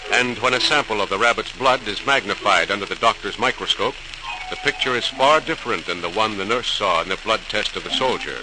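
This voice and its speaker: A man's voice: on the low side, noisy background. A man with an American accent delivering emphatic, declamatory scripted narration in a 1940s radio style. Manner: declamatory, emphatic, measured phrasing. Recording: old transcribed radio recording. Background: music.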